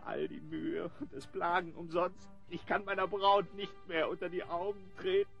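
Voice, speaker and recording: A man's voice: high-pitched, fairly even intonation, normal speed, creaky, fairly bright tone, dull audio, soft background music. Whiny, greedy nobleman. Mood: wailing. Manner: plaintive, theatrical lamenting. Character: whining, greedy male nobleman secretly hiding his identity. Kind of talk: German audio drama acting.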